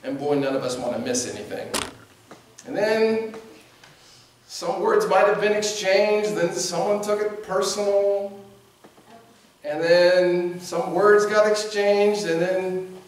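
A man in his forties, a pastor preaching a sermon from the pulpit in a conversational, animated way, with lively gestures. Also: high-pitched, takes it slowly, pauses often. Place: church sanctuary at a pulpit. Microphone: distant camera mic. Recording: very echoey church from a distance.

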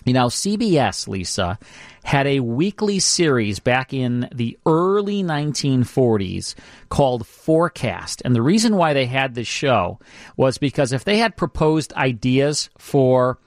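An American man hosts a radio show in a chatty, informal way. His voice sits at a middle pitch, recorded close and dry in a studio. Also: big pitch swings, measured pace, dry recording. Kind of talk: casual radio banter and show promos.

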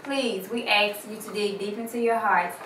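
A woman's voice: mid-range voice, fairly even intonation, normal speed, a bit bright. A woman, an actress, giving a rehearsed appeal to promote a stage play. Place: indoor living room. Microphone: distant camera microphone. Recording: echoey room, distant mic, clear noise.

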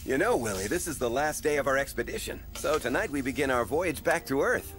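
An actor playing a friendly explorer father on a spaceship in an animated film, with an encouraging, instructive manner. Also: medium pitch, a little fast, natural voice. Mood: warm, upbeat. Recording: music behind the voice.

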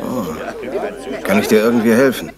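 An actor playing a secret crime boss in a 1970s radio drama, a false friend who is politely menacing. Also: male voice, on the low side, moderate pace, crowd noise, lightly acted. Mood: feigned helpfulness.

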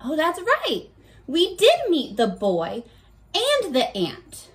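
A young woman leading a read-aloud lesson for children, talking to the camera in an animated, encouraging, child-directed way. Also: sits high, takes it slowly. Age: young adult. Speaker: children's teacher. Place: bedroom at home, indoors.

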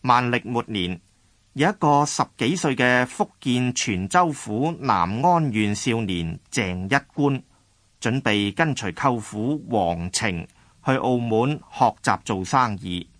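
A man's voice: mid-range voice, lively intonation, slow pace, fairly bright tone, no room sound. A man with a Hong Kong Cantonese accent reading scripted historical narration for a radio drama.

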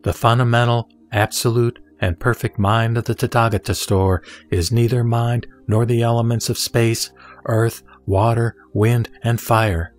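A man reciting a sutra as a solemn scripted reading, in measured, deliberate phrases with pauses. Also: mid-range voice, a little mellow. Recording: background music under the voice. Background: music.

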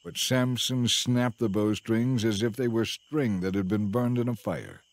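A man narrating a dramatized Bible story in a measured, scripted storytelling style. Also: fairly low pitch, no room sound.

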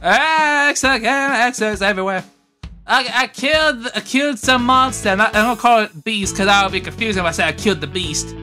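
A man improvising a comedy dub over game cutscenes in a goofy, exaggerated, shouty way. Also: high voice, fairly quick. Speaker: comedic dubber. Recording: loud music behind.

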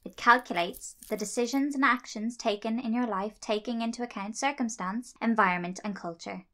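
A woman's voice: fairly high pitch, brisk, bright, forceful tone. A woman playing a helpful, earnest angel of good in a radio drama, explaining things matter-of-factly. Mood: earnest, explanatory.

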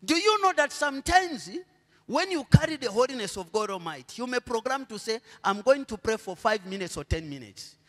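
A middle-aged Zambian man preaching a sermon on a church stage into a handheld mic. He asks the congregation to finish his sentences and swings between quiet murmurs and loud, drawn-out proclamations. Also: extremely high pitch, sing-song, long reverb tail. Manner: preaching with call-and-response questions to the congregation. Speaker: pastor.

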